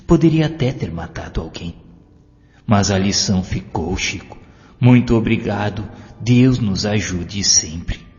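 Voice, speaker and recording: A man's voice: lowish voice, expressive, measured pace, a little mellow. A Brazilian man voicing a grateful, earnest male police guard in an audiobook, speaking emphatically, as if confessing. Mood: earnest, relieved. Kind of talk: audiobook character dialogue. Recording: muffled, long reverb, music behind.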